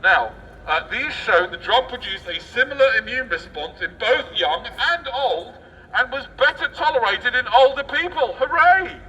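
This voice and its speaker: A man's voice: very high-pitched, animated, moderate pace, pushed and bright. A man reading the news aloud as satirical street oratory, with theatrical, sarcastic mock enthusiasm. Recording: distant outdoor mic, faint street noise.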